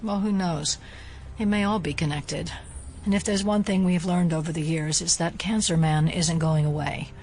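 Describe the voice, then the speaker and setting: Woman playing a low-voiced, level-headed female agent. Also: fairly even intonation, darkish tone, slight background noise, lightly acted. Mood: analytical, serious. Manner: measured, analytical. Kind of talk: audio drama dialogue.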